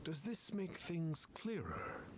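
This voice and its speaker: Pompous male game mystic: highish voice, archaic, very deliberate delivery. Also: sing-song, slight background noise. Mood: lecturing. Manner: pompous, theatrical, archaic and measured. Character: pompous masked male mystic posing as holy sage. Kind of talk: anime game character acting.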